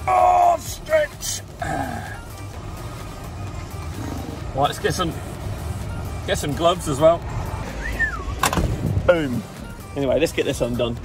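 A man's voice: a little high, very animated, slow, creaky, pauses often, loud music behind the voice. A man, chatting casually to viewers as he drives his truck and loads it in a yard. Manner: chatty, playful, talks to viewers as he works. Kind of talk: casual vlog commentary while driving and loading. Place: truck cab and open loading yard.

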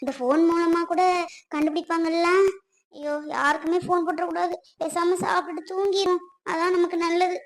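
A woman's voice: very high-pitched, little pitch movement, brisk. A young adult woman playing a frightened young woman hiding in a rented room, with a timid, pleading way of talking. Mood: anxious, prayerful. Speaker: young woman who ran away from home with her partner.

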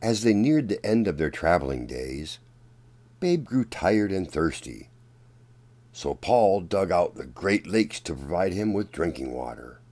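A man reads a children's picture book aloud in a low voice. His pace is very slow and deliberate, and his intonation rises and falls widely. The delivery is that of a dramatic storyteller addressing young children. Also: expressive, darkish tone. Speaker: children's storyteller and channel host.

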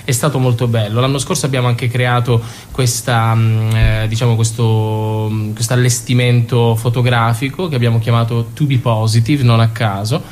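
A man gives an explanatory answer in a radio interview. He is mid-pitched and halting as he searches for words, with faint noise in the recording. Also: little pitch movement, a little mellow. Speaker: theatre company member, interview guest.

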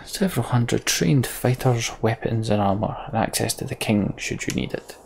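A man voicing a dutiful middle-aged guard captain in a fantasy game, formal and deliberate. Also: medium pitch, vocal fry, a little mellow, a little hiss or hum, lightly acted. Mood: grave.

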